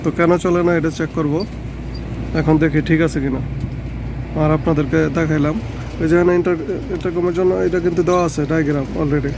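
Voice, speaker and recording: Higher-pitched Bangladeshi man, casual tutorial, noisy recording. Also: steady tone, quick pace. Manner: informal, repeats his points. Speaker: electrician presenting a how-to video. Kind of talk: casual hands-on tutorial narration.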